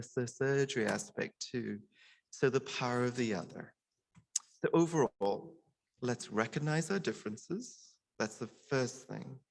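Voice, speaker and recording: A man's voice: lowish voice, vocal fry, poor audio. A middle-aged man, a surgeon, giving a personal, informal conference speech in an earnest manner, sometimes stammering and repeating words.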